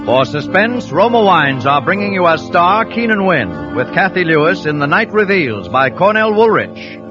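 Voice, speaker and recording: A male announcer declaims a show introduction in a high, formal voice. Loud music plays right underneath him on a dull, lo-fi vintage broadcast recording. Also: very animated, normal speed.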